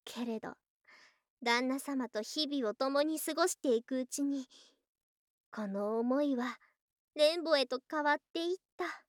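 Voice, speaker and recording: A voice actress playing an affectionate snake-spirit wife in a Japanese ASMR voice drama, with archaic, coy, excitable speech and open devotion. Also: female voice, high-pitched, unhurried, dry recording, clean studio sound. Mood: tender, earnest. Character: affectionate female lamia snake-spirit wife, girlish yet old-fashioned.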